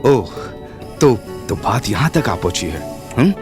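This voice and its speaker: A man's voice: on the low side, big pitch swings, a little slow. A man acting a young professor, intense, protective and teasing, in a romantic audio drama. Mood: amused, teasing. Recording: music under the voice.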